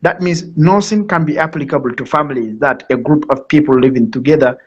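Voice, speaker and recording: A man's voice: a little high, brisk, breathy edge. A man from Nigeria with a Nigerian accent speaks formally as a guest presenter on a live online talk, explaining things with emphatic repetition. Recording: dull lo-fi online call audio.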